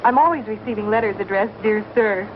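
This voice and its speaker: An actress playing a prim, determined young schoolteacher in an old Western television drama, polite and formal. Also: female voice, mid-range voice, fairly quick, close to an everyday voice. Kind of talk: 1950s Western television acting. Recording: dull old TV soundtrack, faint noise.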